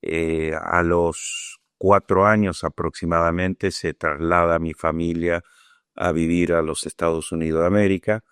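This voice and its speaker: A man in his sixties with an Argentine accent, giving a measured, reflective testimony in an interview and sometimes pausing to find his words. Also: sits low, flat delivery, a bit bright, clean tone, dry recording, studio quality. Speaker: Falklands War veteran interviewee.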